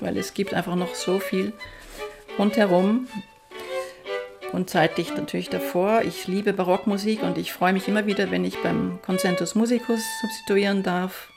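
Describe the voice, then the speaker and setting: A woman's voice: mid-range voice, gravelly. A middle-aged woman who grew up in Austria, a violinist speaking reflectively and with enthusiasm about her work in a documentary interview.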